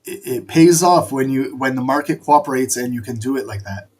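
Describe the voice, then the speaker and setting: A man's voice: medium pitch, brisk, darkish tone, slightly rough. A man who is losing his voice, hosting a live trading stream and coaching viewers in short, repeated bursts while he points at a chart.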